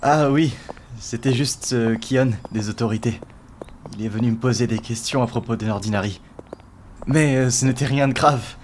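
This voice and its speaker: A man's voice: medium pitch, normal speed, darkish tone, slight background noise, close to an everyday voice. A man playing a nervous, evasive teenage schoolboy in an amateur webtoon dub, casual and hesitant. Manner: hesitant, casual, brushing things off. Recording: voice under clearly audible music.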